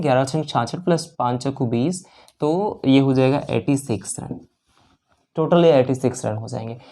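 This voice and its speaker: Mellow-voiced man teaching maths step by step. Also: mid-range voice. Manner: repeats each step and checks in with listeners often; works sums aloud step by step, mixing English terms and numbers into the talk. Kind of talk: exam-prep maths lesson. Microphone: voice-over of a screen lesson.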